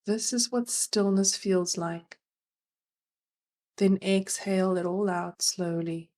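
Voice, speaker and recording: A woman narrating a guided meditation as its guide, gentle and soothing, leaving long pauses between phrases. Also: medium pitch, steady tone.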